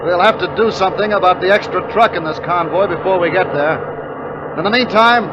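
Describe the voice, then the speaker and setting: An actor playing a brisk, commanding army captain in a 1950s radio drama, speaking in a clipped, authoritative way. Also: male voice, high-pitched, noisy background, lightly acted. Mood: urgent, commanding. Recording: muffled old radio recording with background music.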